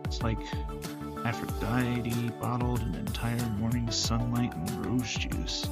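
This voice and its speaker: A man's voice: medium pitch, slow pace, gravelly, over loud music. A man performing the lines of a story-heavy visual novel as its sole voice actor. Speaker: game player voicing each character. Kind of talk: acted narration of a visual novel.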